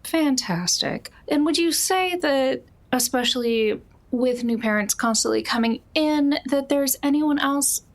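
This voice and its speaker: A woman's voice: sits high, unhurried, hardly any pauses. A woman playing a polite, probing district official in a tabletop game, asking formal, tactful, leading questions. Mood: politely curious.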